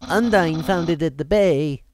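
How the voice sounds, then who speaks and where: A woman's voice: low-pitched, measured pace, a little mellow, slight background noise. A woman voicing a goofy, boastful yet earnest male skeleton in a theatrical, declaiming manner for a video game dub. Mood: enthusiastic.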